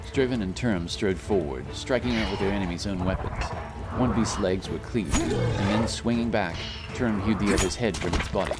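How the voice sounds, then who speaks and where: Low-pitched male fantasy narrator. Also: moderate pace, loud music behind the voice. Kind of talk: scripted dramatic fantasy narration.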